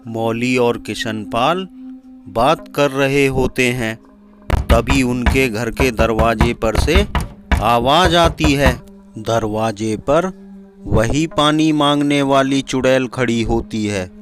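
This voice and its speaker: A man narrating a scripted horror story in a measured, deliberate manner. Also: mid-range voice, over music.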